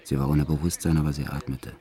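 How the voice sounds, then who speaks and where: Radio play lead: brutal career criminal confessing matter-of-factly, extremely low voice. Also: male voice, little pitch movement, quick pace, warm tone. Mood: subdued, brooding. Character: violent male career criminal confessing his life, brutal yet tender. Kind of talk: first-person radio play narration.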